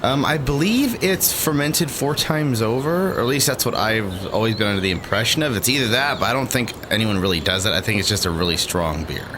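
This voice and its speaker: Man, casual storyteller, swooping lively pitch, noisy background, acts out voices. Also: fairly quick, hardly any pauses. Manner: animated, acts out quoted voices, laughs often.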